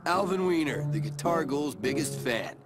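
An actor playing an eerie male superfan of a ghoul in a cartoon video game, giving dramatic, drawn-out warnings. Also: on the high side, expressive, natural voice. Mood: ominous. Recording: loud music behind.

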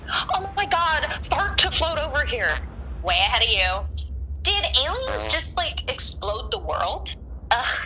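A woman's voice: sits high, sharp tone, a little hiss or hum, heavily acted, rough recording. An actress voicing a foul-mouthed, gossiping young woman with a valley-girl manner in a comedy radio play. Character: catty gossiping young woman floating in space.

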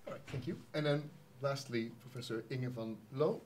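A man's voice: mid-range voice, normal speed, heavy background noise. A young man moderating a university webinar panel, introducing guests in a plain, organized way. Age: young adult. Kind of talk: webinar hosting. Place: indoor panel studio.

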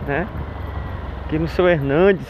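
High-voiced chatty motovlogger. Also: male voice, takes it slowly. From Brazil. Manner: chatty, upbeat, joking. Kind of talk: casual vlog narration while riding. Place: outdoors on city streets by motorcycle. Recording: dull lo-fi with faint street noise.

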